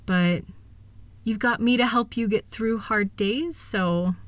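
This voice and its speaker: A woman playing a kind, capable wife who gently teases and reassures a partner in an intimate comfort audio roleplay. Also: fairly high pitch, slow pace, no echo. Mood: soothing, reassuring. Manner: reassuring, gentle, teasing, affectionate. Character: kind, upbeat, capable wife soothing her insecure partner.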